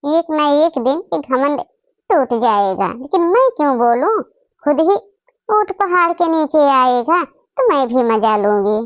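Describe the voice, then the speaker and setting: A woman plays a young cartoon sister-in-law with a sly streak. She speaks in a high, dry voice, gossipy and knowing. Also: very animated, a little slow, no room sound. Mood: sly aside. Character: young sister-in-law, slyly muttering about boastful relative.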